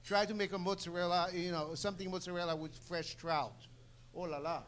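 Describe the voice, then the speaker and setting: Haitian-accented man with a lively patter. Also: high voice, fairly quick, a little hiss or hum. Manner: animated, repeats "okay?", laughs often. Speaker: cheese presenter. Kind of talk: casual live tasting talk.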